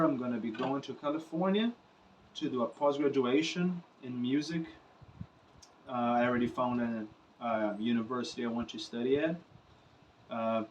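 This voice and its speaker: A man's voice: mid-range voice, warm tone, far from the mic, muffled recording, slight background noise. A young Brazilian man, Portuguese his first language, speaking English unscripted to the camera in a loose, rambling way with filler phrases and swearing. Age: young adult. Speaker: musician vlogger.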